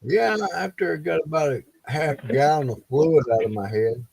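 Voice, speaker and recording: A man's voice: fairly high pitch, moderate pace, vocal fry, darkish tone, husky, no echo. A man talking loosely and colloquially in a casual chat as a guest on a stream.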